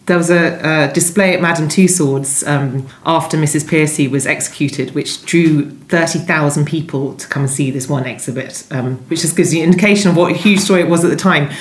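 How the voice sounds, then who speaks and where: A woman's voice: sits low, a little fast, few pauses. A woman giving conversational, discursive interview answers as a guest author, often rephrasing as she goes. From London, England. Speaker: guest novelist being interviewed. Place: indoors at home. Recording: echoey room.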